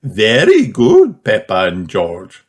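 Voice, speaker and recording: A man voicing a kindly female gazelle schoolteacher in a children's storybook, encouraging, with drawn-out instructions. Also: on the low side, huge pitch swings, husky, full character voice.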